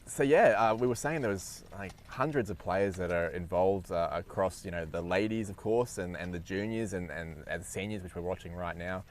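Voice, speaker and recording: A man commentating a cricket match live, chatty and quick to laugh. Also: low voice, a little hiss or hum. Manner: chatty, laughs easily, many restarts.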